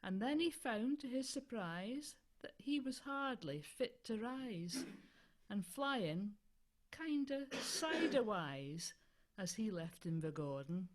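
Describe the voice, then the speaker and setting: A woman with a Scottish accent reciting poetry, quiet and distant on a video call. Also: on the high side, very animated, slow pace. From northeast Scotland. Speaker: folk club performer.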